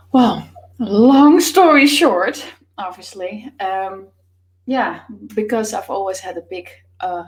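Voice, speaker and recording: Dutch-accented woman, lowish voice. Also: low-quality recording. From Netherlands. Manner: thoughtful, many fillers, laughs often. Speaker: author and business coach, guest. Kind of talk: casual interview answers.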